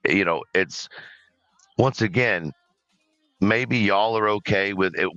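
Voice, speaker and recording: A man with a loud, very low voice explains himself on a live audio panel. His tone is forceful and bright, and the lo-fi line sounds dry and close. He keeps his delivery measured and often checks in with "right?". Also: no room sound. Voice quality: loud, deep voice. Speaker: panel participant.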